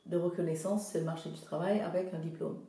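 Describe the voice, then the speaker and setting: Woman from Zimbabwe, English-native, art therapist; dark gravelly tone, halting delivery, roomy echo. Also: on the low side, fairly even intonation. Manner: hesitant, searching for words, many fillers. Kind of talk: casual interview. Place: studio workshop.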